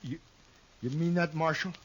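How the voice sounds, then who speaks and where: An actor playing a timid, frightened young outlaw who stammers and pleads, in a 1950s radio drama. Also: male voice, on the high side, moderate pace, fairly bright tone, muffled recording, close to an everyday voice. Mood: shaken. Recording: old radio broadcast with hiss.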